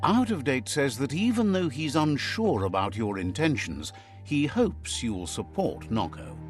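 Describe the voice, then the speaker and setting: An actor voicing a wry, wise male storyteller, narrating a video game fable in a measured, theatrical way. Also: medium pitch, very animated, soft background music. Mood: wry, storytelling.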